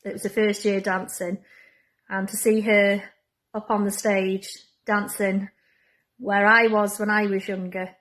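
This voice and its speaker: A woman's voice: medium pitch, flat delivery, unhurried, fairly bright tone, low-quality recording. A woman from a pantomime company, reminiscing casually.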